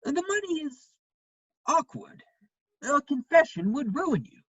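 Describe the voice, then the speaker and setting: A woman playing a worldly, witty gentleman counselling a friend in a dramatic play reading, with wry, measured phrasing. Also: sits high, sing-song, no echo, highs cut off. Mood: sympathetic, counselling.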